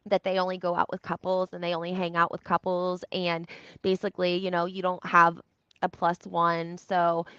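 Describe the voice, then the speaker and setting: A woman's voice: lowish voice, monotone, moderate pace, a bit bright, clear, resonant tone. A woman giving casual, opinionated reality TV commentary in a conversational, emphatic way, using rhetorical questions and repetition. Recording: roomy echo, slightly dull lo-fi sound.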